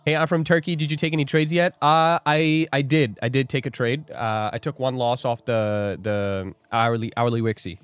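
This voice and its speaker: A man's voice: mid-range voice, steady tone, moderate pace, hardly any pauses, smooth, dry recording, faint music behind. A young man hosting a live trading stream, joking and rambling as he reads viewer comments aloud. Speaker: livestream day trader host. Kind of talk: casual livestream chat.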